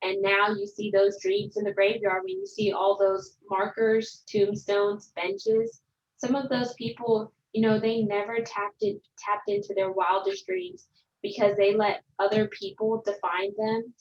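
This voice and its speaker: A woman's voice: mid-range voice, lively intonation, unhurried, lo-fi sound. Upbeat woman on a video call. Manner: upbeat, encouraging, rousing. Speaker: motivational speaker. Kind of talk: motivational talk on a video call.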